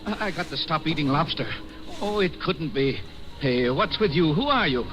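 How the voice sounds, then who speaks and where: An actor playing a grumpy, skeptical old miser who scares easily, in slangy old-time radio comedy style. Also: male voice, a little high, expressive, moderate pace, poor audio. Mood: disbelieving, muttering. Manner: slangy, blustering, muttering. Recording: vintage radio broadcast, loud music behind.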